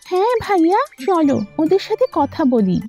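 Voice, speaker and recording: A woman's voice: extremely high pitch, sing-song, normal speed, dark tone, faint music behind, full character voice. A woman voicing an eager, childlike village girl in a children's cartoon story. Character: young village girl, eager little sister.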